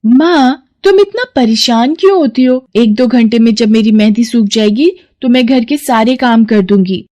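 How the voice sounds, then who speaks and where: A woman's voice: fairly high pitch, moderate pace, mellow, natural voice. A woman voicing a young daughter in a moral storybook, eager, tender and stubborn, pleading earnestly. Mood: coaxing. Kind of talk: acted Hindi moral storybook dialogue.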